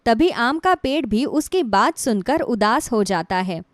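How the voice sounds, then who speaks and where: High-voiced woman storyteller. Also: animated, unhurried, no room sound, clean studio sound. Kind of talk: scripted moral story narration.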